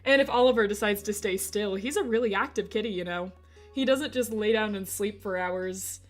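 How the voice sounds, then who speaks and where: A woman streamer with a slightly hoarse voice, chatting casually and giggling as she talks to her chat. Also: mid-range voice, a bit bright.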